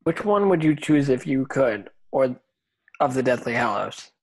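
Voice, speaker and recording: A man playing a puzzled, hopeful teenage boy wizard with halting delivery in a scripted table read. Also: mid-range voice, animated. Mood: puzzled, wistful.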